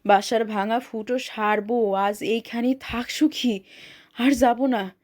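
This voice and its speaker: A woman voicing a gruff, stubborn old ferryman in a rustic village dialect. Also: a little high, fairly even intonation, slow, darkish tone. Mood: knowing.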